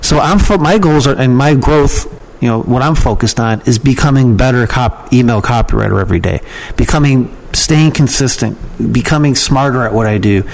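Man, mid-pitched voice, podcast guest, informal, rambling, opinionated, conversational. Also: moderate pace, vocal fry, poor audio. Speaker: podcast guest, email marketer and copywriting trainer. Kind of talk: casual interview talk.

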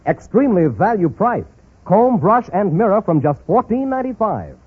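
A male American announcer reads an old-time radio commercial. His voice is fairly high and very rough around the edges, with animated pitch. The sound is thin and tinny, like a phone line. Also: moderate pace.

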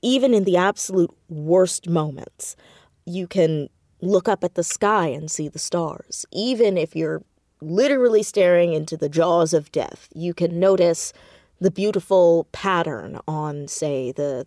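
Expressive woman guest, lower-pitched, close dry sound. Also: measured pace, no room sound. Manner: expressive, effusive, acts out quoted dialogue. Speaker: interview guest, audio drama creator. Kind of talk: animated conversational interview, storytelling with acted quotes.

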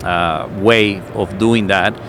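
A man's voice: deep voice, steady tone, slow pace, background noise. A middle-aged man from Cuba with a Cuban Spanish accent, chatting casually and searching for words with many fillers. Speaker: cigar maker. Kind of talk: casual chat.

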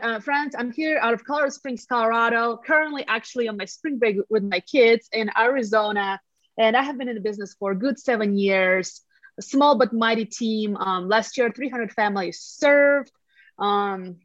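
A woman with a Latvian accent talks in a fairly high, upbeat voice, frank and friendly with the listeners. Her audio is dry and somewhat muffled, like a video call. She sounds like a relaxed podcast guest. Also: moderate pace, dry recording. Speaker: guest, real estate agent. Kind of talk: casual podcast chat over video call.

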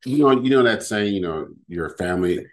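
Very deep-voiced man, lively, rambling. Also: brisk. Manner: rambling, lively, full of fillers. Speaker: podcast host. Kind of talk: casual chat. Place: home living room.

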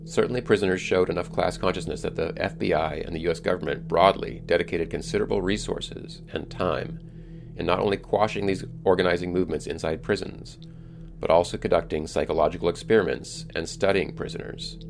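A man hosting a podcast, reading scripted solo narration in a measured, explanatory way. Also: low voice, fairly even intonation, gravelly, background noise. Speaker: podcast host.

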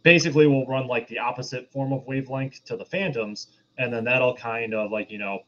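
A man's voice: medium pitch, steady tone, fairly quick, a bit bright, dull audio. A man co-hosting a podcast, explaining things in a rambling, casual film discussion. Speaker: podcast co-host.

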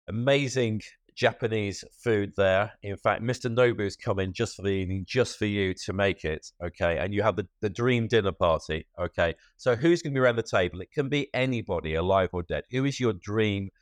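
Man, podcast host, lowish voice, dry room, playful questions. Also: dry recording. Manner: playful, sets up imaginative questions. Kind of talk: podcast interview.

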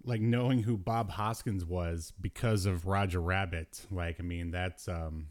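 Low-voiced man, jokey casual podcast host. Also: fairly even intonation, measured pace. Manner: jokey, chuckles often. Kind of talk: casual pop culture chat.

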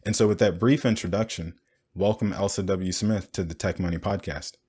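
A man with a very low voice hosts a finance podcast. He opens with a steady, explanatory read and then moves into easy conversation with his guest. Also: moderate pace, husky. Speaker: podcast host. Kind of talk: scripted intro then conversational interview.

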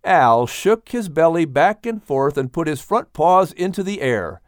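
A man's voice: a little high, big pitch swings, a little slow, dry recording, clean studio sound. A man reading a children's animal story aloud as scripted audiobook narration.

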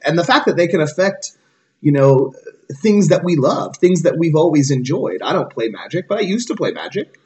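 A man's voice: a little high, big pitch swings, moderate pace, darkish tone. A man in his thirties in casual podcast discussion, explaining his points and often checking in with a tag question. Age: 30s. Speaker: podcast guest co-host.

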